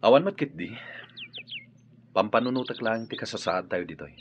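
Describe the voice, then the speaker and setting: An actor playing a wary, brooding fugitive in a radio drama. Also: male voice, fairly low pitch, lively intonation, moderate pace, lightly acted. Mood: troubled. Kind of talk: Ilocano radio drama acting. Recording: dull radio recording with faint noise.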